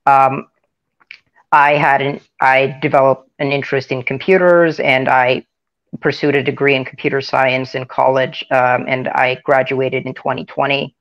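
Young man, 20s, hesitant, gravelly, slightly muffled audio. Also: mid-range voice, level tone, normal speed, a bit bright. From Seattle area, Washington. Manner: hesitant, brief answers. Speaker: panel guest. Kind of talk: casual self-introduction.

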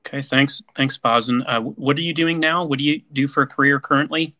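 A man's voice: mid-range voice, fairly even intonation, fairly quick, pushed and bright. A man hosting an online panel forum over a video call, upbeat and heaping on repeated praise. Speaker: podcast host.

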